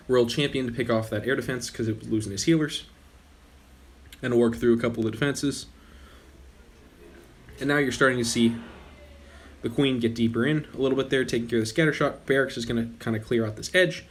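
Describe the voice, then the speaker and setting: A young man hosting his gaming channel, talking casually over gameplay while losing his voice, often stopping to correct himself. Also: mid-range voice. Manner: relaxed, rambling with frequent self-corrections. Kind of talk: casual game commentary.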